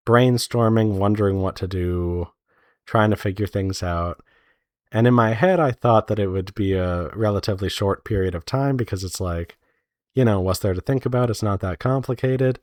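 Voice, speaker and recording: A man with a deep, low-pitched voice speaks in a very dry recording with no room sound. He sounds like an independent creator giving a casual progress update. His delivery is unscripted and meandering, as if working out his thoughts aloud. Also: a little mellow, no room sound. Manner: rambling, thinking aloud with trailing hedges. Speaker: indie visual novel developer giving a devlog update. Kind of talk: casual unscripted devlog chat.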